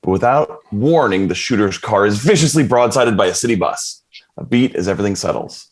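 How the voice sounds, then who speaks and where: A man's voice: lowish voice, animated, brisk. A man narrating a TV script's action and stage directions at a table read.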